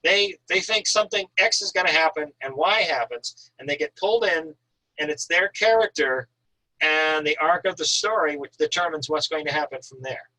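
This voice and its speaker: Bright, husky American man. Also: fairly high pitch, moderate pace, poor audio. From Northern Maine, USA. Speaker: author reading and discussing their own thriller. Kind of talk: prose reading and informal book talk.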